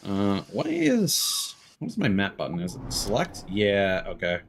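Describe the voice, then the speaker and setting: Male game streamer, lower register, casual and rambling commentary, noticeable background ambience. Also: huge pitch swings, unhurried, creaky, slight background noise. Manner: rambling, thinking aloud. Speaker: live streamer playing a video game. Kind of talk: casual gaming livestream commentary.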